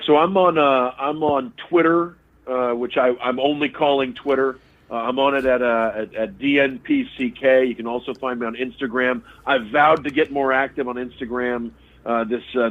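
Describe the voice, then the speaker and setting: A man talking sports as a guest analyst in a conversational, halting way, often repeating words mid-sentence. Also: mid-range voice. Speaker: sports radio host and play-by-play broadcaster, podcast guest. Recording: tinny, phone-like remote connection.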